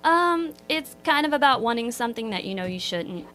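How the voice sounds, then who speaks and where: A woman singer-songwriter with a slightly hoarse voice, talking modestly in a radio interview. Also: a little high, animated, a little fast, a bit bright, rough recording. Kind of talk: casual radio interview chat.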